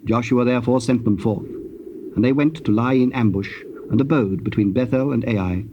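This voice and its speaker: A man narrating scripture in a solemn, measured and formal manner. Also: medium pitch, natural voice. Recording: dull, noisy old cassette tape.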